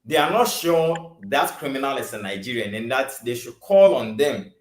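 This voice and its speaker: A man hosting a discussion, asking questions and cutting in quickly. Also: medium pitch, big pitch swings. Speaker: host. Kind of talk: casual discussion. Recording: crowd noise behind.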